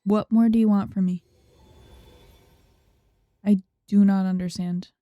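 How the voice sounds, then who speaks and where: A woman's voice: mid-range voice, steady tone, unhurried, no room sound, phone-quality sound. A woman giving casual playthrough commentary as a game streamer, with muttered exasperated asides. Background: soft game music.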